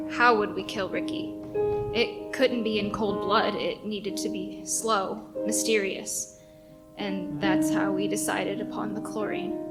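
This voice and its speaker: A woman's voice: mid-range voice, close to an everyday voice. A woman acting a remorseful, anxious male radio staffer who speaks hesitantly and earnestly in a radio play. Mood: grave recounting. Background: music.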